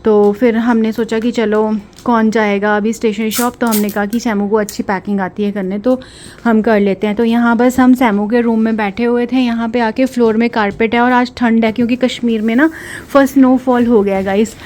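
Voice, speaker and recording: A woman's voice: on the high side, steady tone, moderate pace, a little mellow. A woman vlogger talking casually to the camera in a chatty, homely way. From Punjab.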